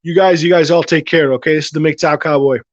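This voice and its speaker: A man chats on a late-night livestream, working through viewers' messages in a rambling way, often restarting and repeating his sentences. His voice sits a bit high for a man and sounds worn, as if he is losing it after hours of talking. The sound is dry and close, with no room around it. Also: steady tone, fast, no room sound, dull audio. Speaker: livestream host reading chat.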